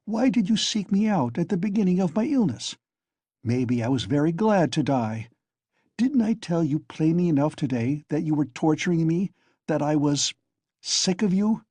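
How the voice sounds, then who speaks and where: A man's voice: on the high side, mellow, breathy edge, dry recording, pitched above the performer's own voice, heavily acted. A man voicing Raskolnikov, a feverish bitter young man. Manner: taunting, venomous, overwrought.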